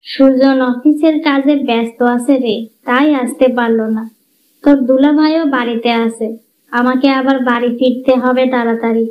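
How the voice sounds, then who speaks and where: A woman's voice: high voice, steady tone, normal speed, close to an everyday voice. A woman doing a bossy conniving elder sister. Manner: scolding, instructive. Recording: muffled lo-fi audio.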